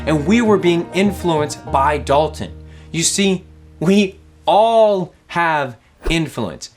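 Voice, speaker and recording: A young man in his twenties from Louisiana with a Southern accent, teaching a playful kids' object lesson with lots of questions. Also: on the high side. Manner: animated, playful, asks questions. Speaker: kids ministry teacher.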